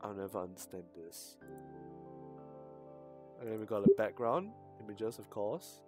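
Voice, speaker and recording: A man's voice: fairly low pitch, measured pace. A man livestreaming a visual novel, talking casually in hesitant, rambling asides that often drop into a mumble. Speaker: streamer. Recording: music behind the voice. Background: game music.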